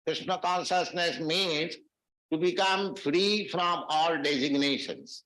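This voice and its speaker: Male spiritual lecturer, raised pitch, deliberate phrasing, echoey archive recording. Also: pushed and bright. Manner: slow, measured phrasing with Sanskrit verses. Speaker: spiritual teacher giving a lecture.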